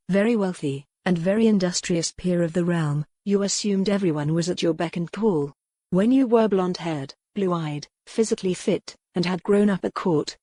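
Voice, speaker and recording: Low-voiced woman narrating a novel. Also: dark tone, no echo, studio quality. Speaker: audiobook narrator. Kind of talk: scripted narration.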